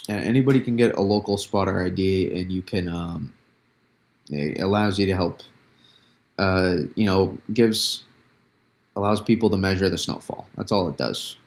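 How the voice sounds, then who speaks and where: A man with vocal fry giving casual live weather commentary, often halting and restarting his words as he thinks. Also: sits low. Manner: halting, repeats and restarts words mid-thought. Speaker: livestream weather analyst.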